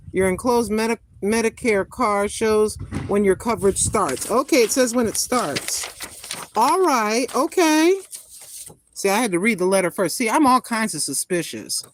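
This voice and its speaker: A woman in her sixties chatting casually with viewers on a live stream, greeting them by name and talking her thoughts through out loud. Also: on the high side, slight background noise. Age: 60s. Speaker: vlogger greeting live chat.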